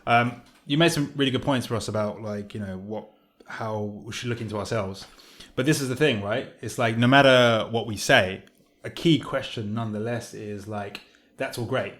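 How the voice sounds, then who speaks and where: A man hosting a casual podcast chat, asking quick questions with plenty of filler words. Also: fairly low pitch, a bit bright.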